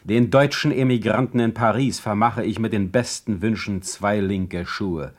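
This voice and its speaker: A man's voice: on the low side, steady tone, moderate pace, natural voice. A man playing a sardonic, imperious German poet in exile for a radio play, declaiming his words. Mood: biting. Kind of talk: radio play acting and letter reading.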